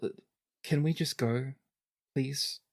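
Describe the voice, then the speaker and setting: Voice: medium pitch, slow pace, gravelly. A tabletop player voicing a reserved, hesitant young princess who speaks with slight formality. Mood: uneasy, reluctant. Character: reserved young princess, reluctant, formal, matter-of-fact about war.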